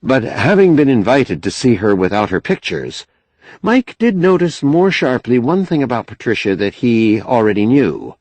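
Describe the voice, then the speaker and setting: A man narrating a novel as an audiobook in a measured, expressive storytelling manner. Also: medium pitch, no room sound, low-quality recording. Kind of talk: scripted literary narration.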